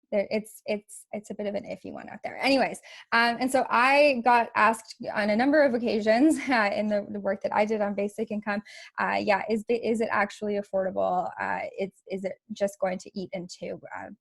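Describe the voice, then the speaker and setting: A woman's voice: mid-range voice, gravelly, dry recording. A young woman from Alberta giving a presentation on a video call, talking with many fillers, restarts and little laughs. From Alberta, Canada. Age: young adult. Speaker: youth network presenter.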